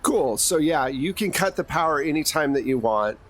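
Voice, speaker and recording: A man's voice: fairly high pitch, lively intonation, moderate pace, a bit bright. Conversational man running a tabletop game. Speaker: game master.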